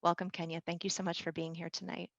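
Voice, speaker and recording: A woman's voice: on the low side, flat delivery, fast, gravelly, dry recording. A woman moderating a panel, thanking speakers and introducing guests graciously. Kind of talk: event moderation. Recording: dull, lo-fi sound.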